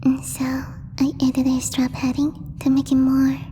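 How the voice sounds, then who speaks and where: A woman voicing a gentle, timid alien girl in a soft, breathy ASMR roleplay, speaking formally and haltingly as she searches for words. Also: high-pitched, monotone. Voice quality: breathy, whispery. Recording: long reverb, faint background noise.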